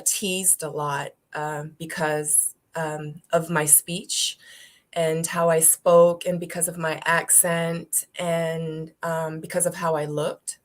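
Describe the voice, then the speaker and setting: A woman in her thirties, born in South Korea with Korean as her first language, raised in the United States, telling her story in a casual interview with many ums and easy laughs. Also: lowish voice. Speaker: interview guest.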